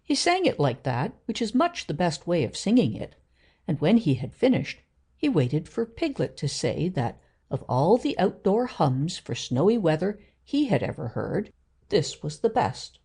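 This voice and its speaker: A woman's voice: on the low side, big pitch swings, a little slow, dark tone, husky. A woman narrating a children's story from a script as a storyteller.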